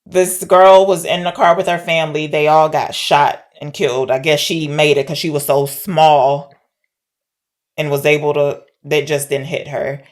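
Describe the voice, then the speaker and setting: A woman's voice: sits low, level tone, moderate pace. A woman co-hosting a podcast, talking informally as she retells and discusses a film. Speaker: podcast co-host.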